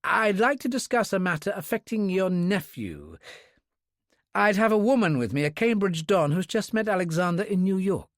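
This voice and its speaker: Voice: mid-range voice, big pitch swings, dry recording, clean studio sound, pitched above the performer's own voice. A narrator voicing a courteous British army major who speaks formally and directly. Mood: earnest. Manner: polite, formal and measured. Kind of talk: audiobook character voice.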